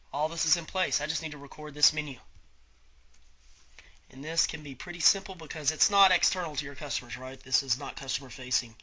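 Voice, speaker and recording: A man giving an informal step-by-step software tutorial as an instructor, talking through each click aloud. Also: on the high side, slight background noise. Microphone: voice-over on a screen recording.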